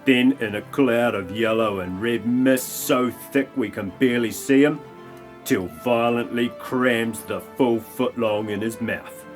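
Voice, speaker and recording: A man's voice: mid-range voice, measured pace, soft background music. A man giving scripted comic narration for an audio play, with a mock-dramatic storytelling manner.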